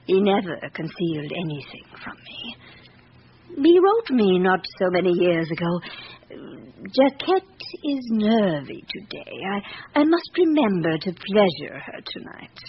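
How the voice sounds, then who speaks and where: A woman's voice: mid-range voice, huge pitch swings, measured pace. Elderly posh widow lady, formal n composed, devoted, old radio drama, muffled sound w faint hiss. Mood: composed, wistful. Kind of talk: vintage radio drama acting. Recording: dull, hissy old radio broadcast.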